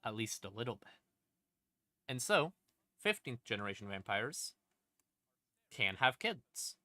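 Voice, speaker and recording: A man streaming a casual lore explainer in an informal, sweary, conversational way, with emphatic, dramatic stresses and drawn-out words. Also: medium pitch, big pitch swings, bright, forceful tone, no echo, clean studio sound. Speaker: streamer, host. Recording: music playing behind the voice. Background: creepy ambient music.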